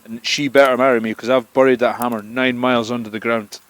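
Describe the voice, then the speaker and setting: A man voicing a blustering King of the Giants making loud, boastful threats. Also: mid-range voice, flat delivery, fast, dry recording, lightly acted. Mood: threatening.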